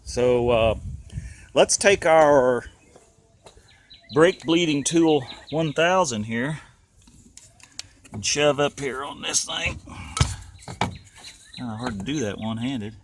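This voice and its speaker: A man's voice: medium pitch, takes it slowly, pauses often, slight background noise. An American man with a folksy, rambling, colloquial way of talking, giving casual hands-on commentary as he does DIY car repairs.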